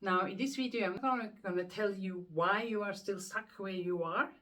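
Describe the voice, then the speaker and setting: A woman's voice: mid-range voice, moderate pace, fairly bright tone, faint music behind. A woman with a Swiss accent, a hypnotherapist explaining the subconscious mind to camera in an earnest, repetitive way with rhetorical questions. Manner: earnest, repeats words while thinking, rhetorical questions. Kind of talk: self-help explainer to camera.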